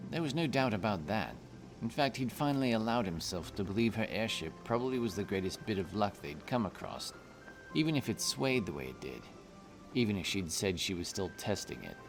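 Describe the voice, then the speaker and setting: A man's voice: lowish voice, big pitch swings, a little fast, faint music behind. A man narrating a scripted fantasy story.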